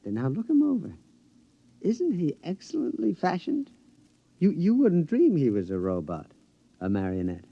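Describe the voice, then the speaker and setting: Henpecked American husband, middle-aged, 1950s radio drama. Conspiratorial, animated, furtive glee. Dull vintage sound. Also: male voice, a little high, moderate pace, creaky, husky, no echo, full character voice. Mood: smug, scheming. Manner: animated, conspiratorial, stammering repetitions. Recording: dull old radio recording, faint noise.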